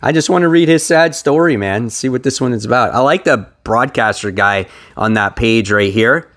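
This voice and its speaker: A man with an average-pitched voice speaks informally to his viewers. He sounds like an enthusiastic hobbyist host, giving relaxed show-and-tell commentary in a chatty, conversational way. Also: normal speed. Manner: enthusiastic, informal fan talk. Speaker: comic book review video host.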